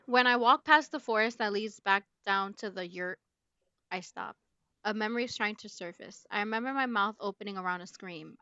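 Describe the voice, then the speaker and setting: A woman podcast host reading a novel aloud dramatically, with abrupt loud emphasis and casual asides. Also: medium pitch, dry recording, lo-fi sound. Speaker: book club podcast host.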